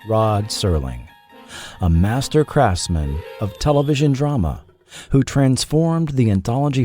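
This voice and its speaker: A male narrator hosts a scripted documentary in a mid-pitched voice. He takes his time and speaks deliberately, with expressive rises and falls that settle at the ends of phrases. Music plays behind him. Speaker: podcast host and narrator. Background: background music.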